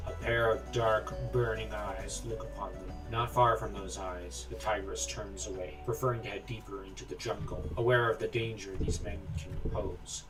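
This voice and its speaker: A man's voice: mid-range voice, far from the mic, poor audio. Man narrating fantasy from off-mic. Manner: dramatic, literary phrasing. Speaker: story narrator. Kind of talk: scripted fantasy narration in present tense.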